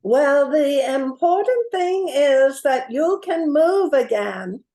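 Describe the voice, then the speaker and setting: Woman playing sweet, high-voiced polite Dorothy. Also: lively intonation, slow, dark tone. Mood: reassuring. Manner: polite, reassuring. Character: Dorothy, polite, helpful storybook girl. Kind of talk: amateur radio play acting.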